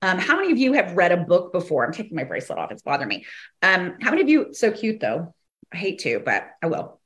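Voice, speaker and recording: A woman with a slightly hoarse, fairly low voice hosts her teaching podcast. She talks in a rambling, casual way, full of fillers and restarts, and laughs briefly between thoughts. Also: wildly swooping pitch, fast, fairly bright tone. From American South. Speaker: podcast host and ministry teacher.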